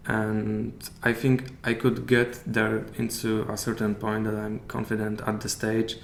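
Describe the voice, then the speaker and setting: A man with a Polish accent, a native Polish speaker, chatting as a podcast guest and often pausing to search for English words. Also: on the low side, completely flat delivery, creaky. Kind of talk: casual interview chat. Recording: echoey room with faint hiss.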